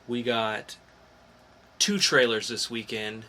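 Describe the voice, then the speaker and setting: A man's voice: medium pitch, slow pace, sharp tone. A man hosting a podcast, talking casually about geek news in an informal, explanatory way with some swearing. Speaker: podcast host.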